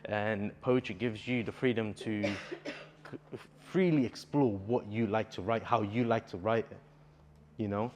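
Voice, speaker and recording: A man's voice: lowish voice, a little slow, darkish tone, a little hiss or hum. A young man from Bulgaria, a native Bulgarian speaker, talking earnestly as a poet guest in a casual interview.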